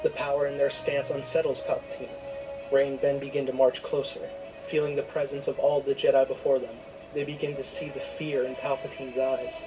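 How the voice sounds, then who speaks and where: A man's voice: medium pitch, little pitch movement, a little fast, highs cut off. A man reading a scripted, dramatic story as its narrator. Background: prominent music.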